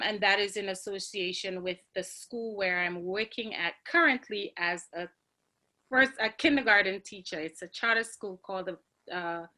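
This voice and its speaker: Bright-voiced woman telling her story. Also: mid-range voice. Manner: earnest, frequent ums, reflective. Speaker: graduate speaker on a college panel. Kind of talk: personal story on a video call. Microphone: video call.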